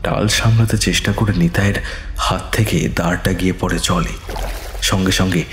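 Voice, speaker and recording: A man narrating a scripted ghost story in a slow, suspenseful manner. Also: sits low, fairly even intonation, fairly bright tone, echoey room, slight background noise.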